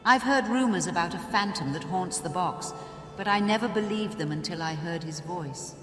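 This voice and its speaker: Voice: medium pitch, natural voice. An actor playing a wary opera box keeper. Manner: earnest, measured narration in first person. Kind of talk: audio drama monologue. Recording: echoey hall reverb with faint music.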